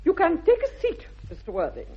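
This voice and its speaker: A woman's voice: very high voice, wildly swooping pitch, fairly quick, a little hiss or hum, full character voice. An actress playing an imperious, snobbish aristocratic English mother in a 1960s radio comedy, pompous and declamatory, with an upper-class accent. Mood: imperious, judging. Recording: dull old radio recording.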